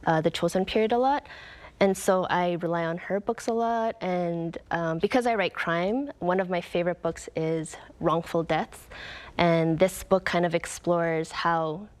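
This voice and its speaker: A young woman author from Canada giving earnest, reflective interview answers, with frequent "um" and "like". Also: lowish voice. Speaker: author guest.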